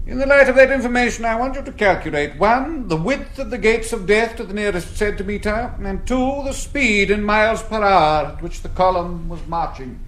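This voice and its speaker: High-voiced, sardonic schoolmaster. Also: male voice, fairly bright tone, a little hiss or hum. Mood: dictating. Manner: clipped, rhetorical, sardonic. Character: severe, sardonic male schoolmaster drilling boys. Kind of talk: theatrical stage acting.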